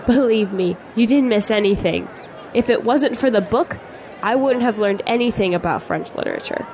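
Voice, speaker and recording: A woman's voice: a little high, animated, moderate pace, darkish tone. An actress playing a spirited young female graduate in an audio drama, teasing yet earnest. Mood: wry, opinionated. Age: young adult. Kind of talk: scripted audio drama dialogue. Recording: faint noise, outdoor crowd ambience.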